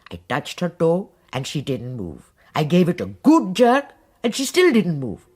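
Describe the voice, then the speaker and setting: A woman's voice: low-pitched, huge pitch swings, a little slow, a little mellow, breathy edge, exaggerated voice. A blunt old servant woman. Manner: blunt and matter-of-fact. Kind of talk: radio drama acting.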